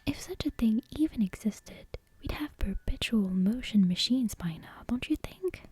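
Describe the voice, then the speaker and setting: A woman voicing a witch teacher's skeptical friend from a storybook, gently scolding in a questioning whisper. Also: a little high, lively intonation, moderate pace, slightly rough, no echo. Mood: skeptical, chiding.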